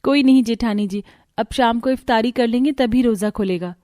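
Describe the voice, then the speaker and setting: A woman playing a gentle, pleading young daughter-in-law. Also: fairly high pitch, moderate pace, no echo, studio quality, natural voice. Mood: worried, polite. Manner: polite, pleading. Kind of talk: Hindi cartoon story acting.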